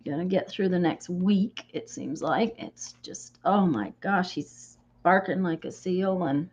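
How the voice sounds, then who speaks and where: A woman hosting a craft livestream, chatting casually and greeting viewers by name as she works, her voice slightly croaky from a cold. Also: fairly low pitch, measured pace, a little mellow, highs cut off. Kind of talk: casual chat while crafting.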